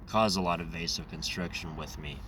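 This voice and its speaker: A man hosting his own channel, talking in a loose, rambling monologue with a raspy, slightly nasal voice, congested and over-caffeinated. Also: sits low, brisk, distant mic, noisy background. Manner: rambling, self-correcting. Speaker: channel host. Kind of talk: casual monologue.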